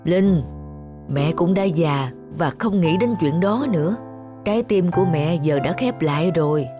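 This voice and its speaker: An ageing widow in a cartoon drama, acted by a woman, with a lowered voice. She is tender and melancholy, full of devotion, over clear background music. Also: expressive, measured pace. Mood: sad, resigned. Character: ageing widowed mother, sad, devoted to late husband.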